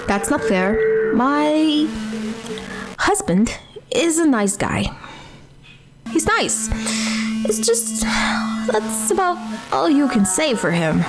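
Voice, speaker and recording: A woman's voice: on the high side, low-quality recording. A woman playing a chatty, self-mocking former reality show housewife, rambling theatrically and sarcastically in an audio drama diary. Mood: resigned, rueful.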